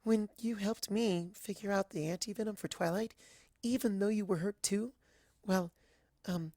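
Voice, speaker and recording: A narrator voicing a gentle, timid young female pony in a storybook, soft-spoken and hesitant. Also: mid-range voice, moderate pace, no room sound, clean studio sound, pitched above the performer's own voice, lightly acted. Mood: shy, grateful.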